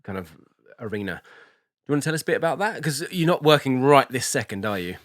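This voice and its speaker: A man hosting a podcast from a sauna house, asking questions in a relaxed way, laughing easily and repeating words as he starts. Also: mid-range voice, quick pace, no room sound, clean studio sound. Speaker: podcast host. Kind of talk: casual interview chat.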